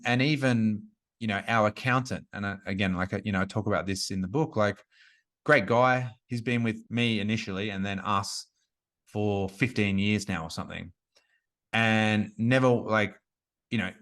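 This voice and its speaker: A man's voice: fairly low pitch, moderate pace, fairly bright tone. A man in his forties, a business coach and author, talking casually in an interview and often restarting his sentences around "like.". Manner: chatty, frequent "like" and restarts.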